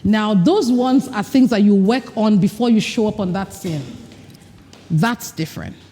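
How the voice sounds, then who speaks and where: A woman's voice: mid-range voice, a little slow, dark tone, big-room sound. A woman leading a live workshop, speaking directly to her audience and coaching them through exercises. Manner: direct, coaching, acts out mock dialogue. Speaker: speaker at a women's leadership retreat. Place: large event hall with an audience. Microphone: recording mic, not amplified.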